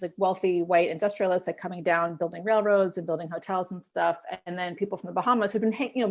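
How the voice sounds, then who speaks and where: An American woman in her fifties talking casually as an interview guest, explaining things in a chatty, informal way. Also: on the low side. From Miami, United States. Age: 50s. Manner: talkative, explanatory, informal with frequent "like" and "you know".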